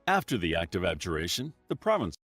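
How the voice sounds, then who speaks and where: A man reading scripted history documentary narration in an even, measured way, played from a video. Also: low voice, no echo, soft background music.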